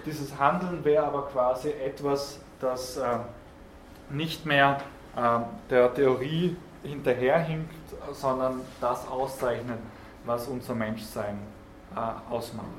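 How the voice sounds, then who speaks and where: A man's voice: medium pitch, steady tone, takes it slowly. A man giving a university philosophy lecture, thinking aloud with frequent hesitations and repeated words, recorded by a student in a lecture hall. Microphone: student's recorder in the room. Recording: echoey lecture hall recording with faint noise.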